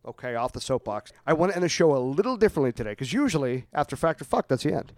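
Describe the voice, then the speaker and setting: An upbeat male podcast host with a high, lively voice. He pitches the show to listeners in a casual, chatty way. The sound is close and dry. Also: very fast, no room sound.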